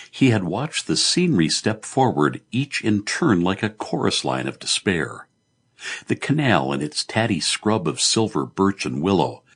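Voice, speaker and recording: Deep husky man narrating fiction. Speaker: audiobook narrator. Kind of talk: scripted literary fiction narration.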